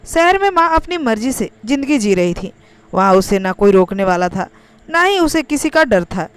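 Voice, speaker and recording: Clear, smooth-voiced woman narrating a story. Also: a little high, sing-song, normal speed, dry recording. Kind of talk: scripted first-person narration of an emotional Hindi story.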